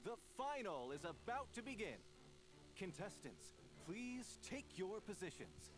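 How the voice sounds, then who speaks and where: A man's voice: sits high, very animated, measured pace, over music. A man playing a courteous, formal arena announcer in a video game. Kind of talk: video game character acting.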